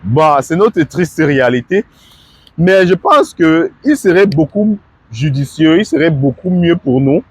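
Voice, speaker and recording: A man's voice: a little high, breathy edge, no room sound. A man with the flu, talking casually and earnestly to his followers, repeating words and dropping filler words as he gives advice. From Africa. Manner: repetitive, stammering, fillers like "hein"; earnest, advising. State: has the flu. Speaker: vlogger.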